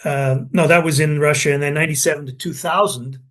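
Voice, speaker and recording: A man's voice: a little high, steady tone, fast talker, a bit bright. An older man from Canada in an oral history interview, telling anecdotes with many restarts and fillers.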